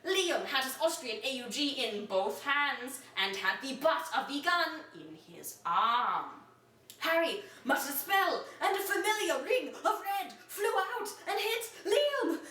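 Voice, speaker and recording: High-voiced woman reading in an echoey room. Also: big pitch swings, measured pace, pushed and bright. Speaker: reader of an amateur story. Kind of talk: mock-dramatic storytelling, reading aloud. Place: echoey room, away from the mic. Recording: roomy echo, distant from microphone.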